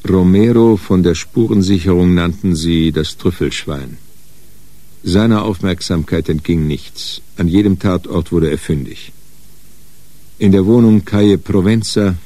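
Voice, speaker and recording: Deep, dark crime narrator. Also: male voice. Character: sober male narrator of a crime story. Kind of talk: scripted narration in a radio crime drama.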